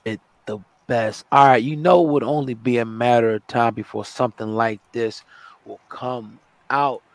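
Hoarse gravelly man recapping hip hop news. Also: mid-range voice, fairly even intonation, moderate pace, no echo. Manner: chatty, addresses viewers directly.

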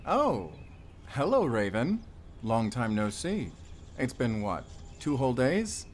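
A man playing a friendly, bashful forest ranger and doting father in an intimate audio roleplay, chatty and gently teasing. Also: mid-range voice, sing-song, slow, slight background noise. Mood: teasing, delighted.

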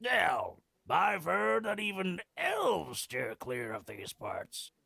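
A scruffy old video game veteran with a very creaky, raspy voice. He is an irreverent, boozy freeloader who drags his words slowly and theatrically, swinging widely in pitch. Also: wildly swooping pitch, sharp tone, no echo, studio quality, heavily acted. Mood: gloomy storytelling. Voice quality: gravelly, raspy. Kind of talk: video game character acting.

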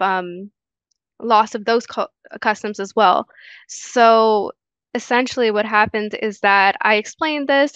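A woman's voice: mid-range voice, fairly bright tone, smooth, dry recording. Young woman on a headset video call. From Guyana. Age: young adult. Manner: earnest, many fillers and restarts. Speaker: interview guest. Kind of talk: casual interview answers. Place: small room indoors. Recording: dull lo-fi video call audio.

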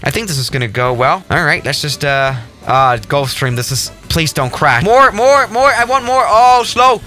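Young guy commentating a game, kinda high bright voice, sarcastic, music behind him. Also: moderate pace. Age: young adult. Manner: animated, mock-exasperated, sarcastic. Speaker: gaming video host. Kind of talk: casual, jokey gameplay commentary.

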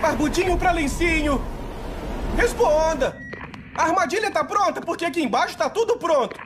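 A man playing a cowardly, goofy young slacker in a Brazilian cartoon dub, with a cracking, squeaky voice. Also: expressive, moderate pace, background noise. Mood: nervous. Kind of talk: dubbed cartoon acting. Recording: loud music behind the voice.

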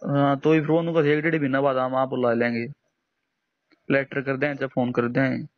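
A man's voice: mid-range voice, level tone, moderate pace. Deferential Haryanvi man on a dull-sounding call. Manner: deferential, frequent respectful agreement. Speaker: citizen, job applicant on a phone call. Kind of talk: casual phone conversation. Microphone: phone call recording. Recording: dull lo-fi recorded phone call.

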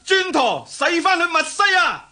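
High, forceful young commander. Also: male voice, sing-song, moderate pace, heavily acted. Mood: alarmed, commanding. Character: young heroic ship commander, urgent and decisive. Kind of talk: Cantonese radio drama acting.

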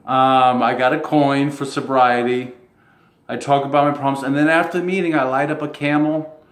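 A man talking casually to his fans in a rambling, halting way, chuckling between phrases. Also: medium pitch, little pitch movement. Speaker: self-described model. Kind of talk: casual monologue to camera. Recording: roomy echo.

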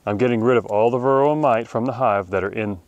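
A man's voice: mid-range voice, fast, no room sound, dull audio. A middle-aged man explaining beekeeping chores outdoors in a wooded yard, talking plainly and practically, recorded by a camera at medium distance. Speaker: beekeeping channel host. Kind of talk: outdoor how-to demonstration.

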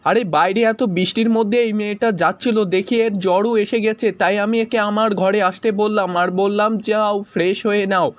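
A man's voice: high-pitched, fairly even intonation, moderate pace, hardly any pauses, no echo, natural voice. A man voicing a hapless cartoon husband and friend who spins explanatory tales in a comic skit, in dull lo-fi audio. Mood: explaining, defensive. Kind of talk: cartoon joke skit dialogue.

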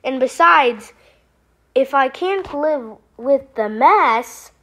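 Lady doing a tidy little storybook frog, super high voice, drawn out, dramatic and fussy. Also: expressive, extremely slow, fairly bright tone, exaggerated voice. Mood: content. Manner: theatrical, exclaiming. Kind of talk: children's storybook read-aloud.

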